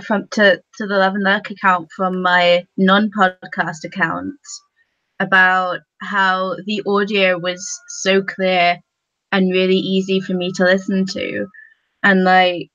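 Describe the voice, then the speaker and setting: Woman panelist, really slow hesitant chat, dry but kinda lo-fi audio. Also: mid-range voice, flat delivery, a bit bright, dry recording. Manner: hesitant, appreciative, informal. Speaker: podcast panelist. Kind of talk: casual panel discussion. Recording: slightly dull, lo-fi audio.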